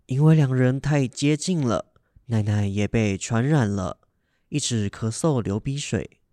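A man's voice: medium pitch, unhurried, warm tone, no echo, studio quality. A young man reading scripted narration for a children's radio drama as its narrator. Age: young adult.

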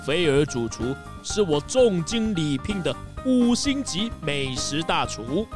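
Wealthy count for kids' radio play: pompous, genial braggart, unhurried delivery, lively intonation. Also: male voice, a little high, music in the background. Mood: self-satisfied. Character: boastful, genial, very rich count, doting father. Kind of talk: children's mystery audio drama.

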